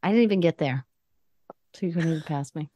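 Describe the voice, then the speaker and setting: A woman's voice: sits low, fast talker, dark tone, no echo. A woman co-hosting a podcast, chatting casually in a playful, teasing way. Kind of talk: casual chat.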